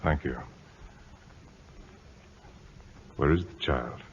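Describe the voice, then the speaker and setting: An actor playing a stoic, formal British naval captain in an old-time radio drama, speaking in a clipped, restrained way. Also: male voice, rumbling low, brisk, slight background noise. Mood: subdued grief. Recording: dull vintage radio recording, orchestral music behind.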